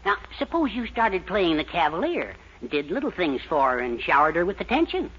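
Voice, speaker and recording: Brief: folksy fatherly man, husky but bright voice, lively delivery, old radio sound. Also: high-pitched, a little fast, heavily acted. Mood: advising. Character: fatherly, advice-giving older man. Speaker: Mr. Anderson, Mildred's father. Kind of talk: 1940s radio sitcom acting. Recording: dull old radio broadcast.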